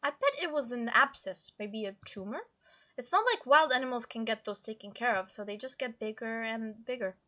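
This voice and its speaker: A woman's voice: on the high side, animated, moderate pace, sharp tone. A woman hosting a game playthrough, reading dialogue aloud expressively and adding her own commentary. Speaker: gaming video host.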